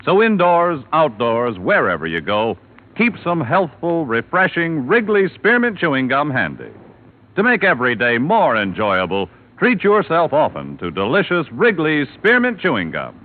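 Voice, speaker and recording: Lively 1950s radio announcer man. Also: fairly high pitch, normal speed. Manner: bright, polished announcer delivery. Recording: tinny old radio broadcast with music.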